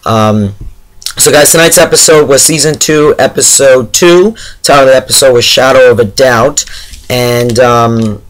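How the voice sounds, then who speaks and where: A man with a hoarse, tired voice giving a casual, chatty, somewhat halting TV episode review straight to his viewers. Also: medium pitch, fairly bright tone, rough recording. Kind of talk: casual spoken review to camera.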